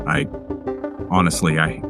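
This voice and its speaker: A man playing a tabletop roleplaying game, improvising in character in a halting, hesitant way. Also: rumbling low, level tone. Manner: halting, hesitant, searching for words. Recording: music playing behind the voice. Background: music.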